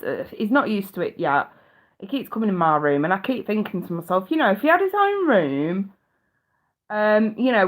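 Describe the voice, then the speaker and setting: A middle-aged woman with a blocked nose chatting casually with viewers on a live stream, swearing now and then. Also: mid-range voice.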